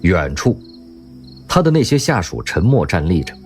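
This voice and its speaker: A man narrating an audio drama in an expressive storytelling manner. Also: deep voice, normal speed, faint music behind.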